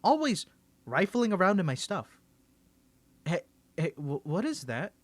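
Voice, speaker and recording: A man's voice: sits high, big pitch swings, a little slow, no room sound. Guy doing a teasing superhero hiding his identity, asmr roleplay, stammery, voice jumps all over, super dry sound. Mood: teasing, flustered. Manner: stammering, teasing, swings from confident to nervous. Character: cocky young male superhero, flustered, hiding his secret identity.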